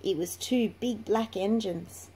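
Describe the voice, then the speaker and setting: A woman reads a children's story aloud at a very unhurried pace. Her pitch is medium, and there is clear noise behind her in the home recording. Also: warm tone. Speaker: children's book reader. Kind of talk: slow read-aloud storytelling.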